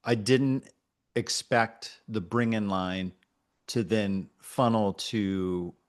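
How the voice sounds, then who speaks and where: A man coaching in conversation, encouraging and thoughtful, often pausing mid-sentence as he gives feedback. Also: on the low side, smooth. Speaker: radio coach.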